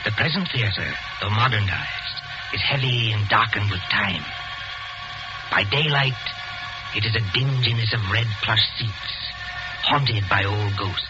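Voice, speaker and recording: A man narrating as host in scripted 1940s radio style, measured, ominous and theatrical. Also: lowish voice, over music. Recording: muffled 1940s radio recording.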